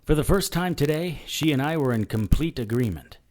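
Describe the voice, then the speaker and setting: An actor playing a wisecracking, defiant noir investigator in an audio drama, dry and sardonic in dialogue and narration. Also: male voice, fairly high pitch, animated, brisk, a little mellow, lightly acted. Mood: wry.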